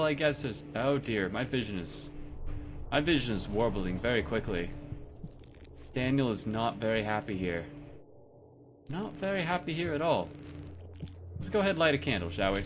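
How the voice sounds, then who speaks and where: A man's voice: medium pitch, noisy background. A man playing a horror game and chatting casually, self-mocking and talking to his character. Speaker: let's play gamer. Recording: game music behind.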